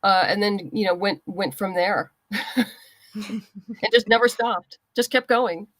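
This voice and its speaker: A woman's voice: medium pitch, fairly even intonation, slow pace, a bit bright, no echo, rough recording. A woman being interviewed as a writer, giving explanatory, conversational answers.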